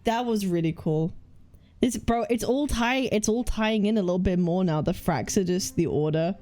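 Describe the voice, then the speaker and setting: A woman game streamer giving casual, excitable reaction commentary in informal, slangy speech. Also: medium pitch, moderate pace. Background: faint game music.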